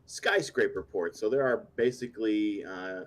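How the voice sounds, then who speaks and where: A man narrating as game master, hesitant and full of pauses while he checks his notes. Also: lowish voice. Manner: halting, many fillers while reading up.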